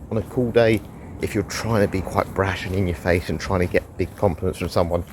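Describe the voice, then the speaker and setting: Deep-voiced sniffly man. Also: darkish tone, a little hiss or hum. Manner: chatty, rambling, with sighs. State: sniffly with a head cold. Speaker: fragrance reviewer. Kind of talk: casual review while walking. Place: outdoors on a walk.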